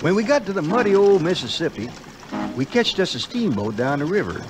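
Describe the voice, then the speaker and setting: An actor playing a loyal, homespun frontier sidekick with a Southern American accent, telling the tale in a rustic way for a 1950s audio play. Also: male voice, high-pitched, sing-song, a little fast, poor audio. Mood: folksy, reflective. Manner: rustic, colloquial storytelling.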